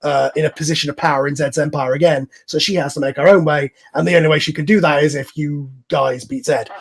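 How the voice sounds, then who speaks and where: A man's voice: on the high side, steady tone, quick pace, creaky, husky. A man explaining his own series in a casual group chat, talking animatedly with stops and restarts as its creator. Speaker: series creator in a cast discussion. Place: voice chat call over the internet.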